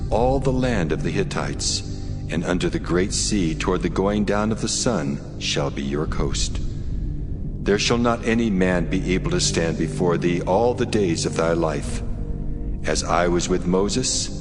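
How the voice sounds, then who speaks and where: A deep male divine voice performed by an actor, solemn and stately, rolls out slow commands in long reverb. Music plays behind this scripture drama.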